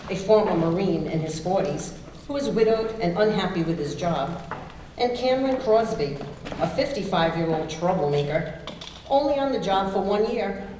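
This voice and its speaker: A woman's voice: fairly low pitch, mellow. A woman reading stage directions as narrator at a live staged reading. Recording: roomy, distant mic, clear noise.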